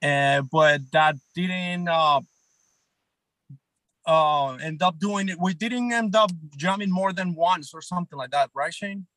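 A man in his thirties talks loosely about his band, restarting sentences and checking in with the others. His voice sits a bit high for a man. The sound is close and dry, with no room around it. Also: measured pace, pushed and bright, no room sound. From Colombia. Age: 30s. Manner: rambling, many restarts and "right?" checks. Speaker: band guitarist and songwriter guest. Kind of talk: casual interview chat.

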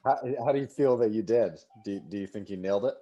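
Joking low-voiced male co-host. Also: fast talker, mellow, dull audio. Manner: short, teasing questions, stumbles on the first word. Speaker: podcast co-host. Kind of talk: casual interview questions.

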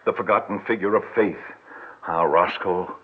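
An actor playing a smooth-talking, grandiose older male swindler in a florid old-time radio drama style. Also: deep voice, steady tone, normal speed. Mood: rueful, resigned. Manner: florid, pompous. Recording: thin, phone-like old radio recording.

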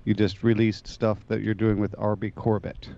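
Male radio host, low mellow voice, short guiding questions, dull muffled sound. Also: level tone, moderate pace, creaky. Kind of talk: radio interview hosting. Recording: dull lo-fi line with faint noise.

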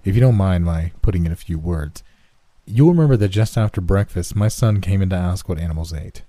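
Man acting a falsely gentle, strict father. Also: deep voice, a little fast, no echo, clean studio sound, close to an everyday voice. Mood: politely interjecting. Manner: polite with a stern edge.

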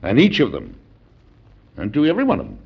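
A low, very animated voice of a stately American president, formal and wily with good humor. It is classic radio drama acting on a muffled old broadcast recording. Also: male voice, fast talker, gravelly, slightly rough, heavily acted. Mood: firm, formal.

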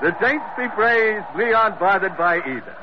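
Through a very noisy, muffled old radio recording comes a salty first mate, a man with a high voice. He is loyal, folksy and wryly good-humored, acted in 1940s adventure serial style. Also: lively intonation, normal speed. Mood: wry, jovial.

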